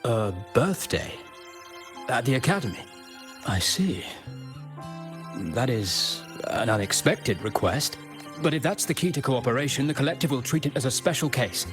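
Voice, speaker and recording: A composed male official from a video game , voiced by an actor. He is formal and efficient in a medium-pitched voice. Music plays clearly behind him. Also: lively intonation, moderate pace, close to an everyday voice. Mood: composed, businesslike. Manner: formal, businesslike.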